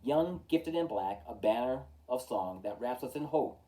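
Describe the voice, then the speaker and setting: A man reads a children's picture book aloud from some distance off the mic. His pitch swings widely as he stresses the rhymes, in a mellow, relaxed tone with a steady rhythmic emphasis. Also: normal speed, a little hiss or hum. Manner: rhythmic, emphatic delivery of rhyming verse. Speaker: storytime reader.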